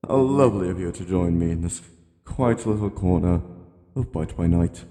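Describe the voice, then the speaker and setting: A man voicing a genteel, rambling elderly animatronic hippo from a game, politely ominous and gently admonishing in a slow, courtly monologue. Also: low-pitched, natural voice. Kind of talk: scripted character monologue. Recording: long cavernous reverb.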